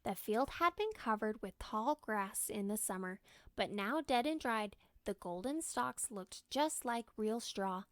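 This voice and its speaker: A woman reading a children's story aloud in an expressive, animated storytelling manner. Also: a little high, unhurried, no room sound. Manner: animated, acts out character lines. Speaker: storybook reader.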